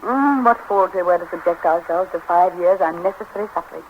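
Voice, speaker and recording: A woman's voice: on the low side, fairly quick, close to an everyday voice. An actress playing a witty, capricious upper-class English woman in love, with an arch, clipped theatrical manner in 1930s radio drama style. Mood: tender. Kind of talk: 1930s radio drama acting, drawing-room comedy. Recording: noisy, muffled 1930s radio broadcast recording.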